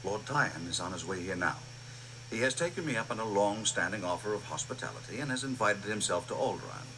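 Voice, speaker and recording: A man's voice: on the low side, expressive, fairly quick, background noise. An actor playing a dignified, concerned middle-aged royal father in an old radio drama. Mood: grave concern. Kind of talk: 1980s radio drama acting.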